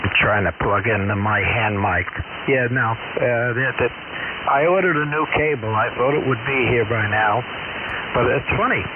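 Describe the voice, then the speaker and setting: A man calling in over ham radio, talking casually and haltingly about his equipment. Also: mid-range voice. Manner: halting, repeats himself. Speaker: caller on the radio. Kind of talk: casual radio contact chat. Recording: dull lo-fi radio audio with loud hiss.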